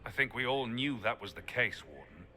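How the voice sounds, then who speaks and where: An actor playing a stern, serious warrior companion in a video game. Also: male voice, mid-range voice, sing-song, moderate pace, bright, forceful tone, faint music behind.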